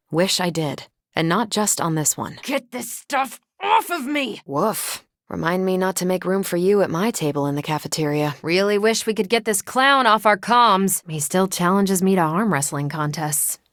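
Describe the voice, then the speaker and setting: A wisecracking American superhero heroine, played by a woman in a video game, with a lowish voice and a very dry, close sound. She is self-assured and soldierly, with casual sarcasm in her delivery. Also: dry recording, close to an everyday voice. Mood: earnest, then combative. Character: confident wisecracking female superhero, brisk military soldier-leader.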